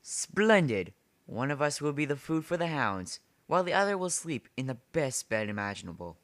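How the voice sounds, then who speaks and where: A man's voice: mid-range voice, sing-song, moderate pace, a bit bright, dry recording. A man playing an urbane, smug aristocratic general in an amateur drama, speaking in a formal, genteel manner. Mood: gracious, amused. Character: urbane aristocratic male general who hunts people for sport. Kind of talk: amateur short story dramatization.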